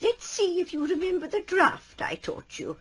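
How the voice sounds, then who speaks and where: An actress playing a tender but stern old woman mentor in an audio drama, measured and hushed, with dramatic pauses. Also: very high voice, huge pitch swings, no room sound, heavily acted. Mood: stern teaching. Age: older.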